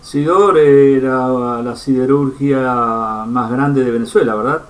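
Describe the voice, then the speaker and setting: A man's voice: mid-range voice, fairly even intonation, takes it slowly, recorded from a distance. A man hosting an interview, friendly and hesitant, filling with "eh" and "ujú". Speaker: interview host.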